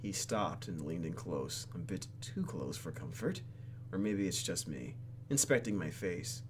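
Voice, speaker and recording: A man's voice: low-pitched, lively intonation, a little slow. A man hosting a gaming video, reading game text aloud and adding theatrical, jokey asides, with some noise behind him.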